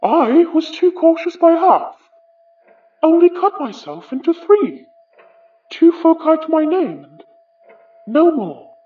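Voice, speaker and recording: A high, theatrical British ghost, slow and wry. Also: big pitch swings, recorded from a distance, narrow, tinny audio, heavily acted. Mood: wry, musing. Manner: measured, formal, musing, ornate phrasing. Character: summoned remnant of an English gentleman, wry, theatrical, wistful. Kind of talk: audio horror drama acting.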